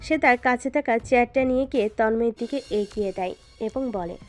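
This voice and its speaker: A woman narrates a scripted drama. Her voice is somewhat high, and music plays underneath her telling. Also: a little fast, a little mellow.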